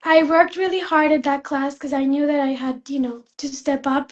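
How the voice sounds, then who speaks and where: A young woman from Spain, a fashion student, chatting in an interview, soft-spoken with many fillers and restarts. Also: high-pitched, steady tone, moderate pace, lo-fi sound. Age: young adult. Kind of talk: casual interview chat.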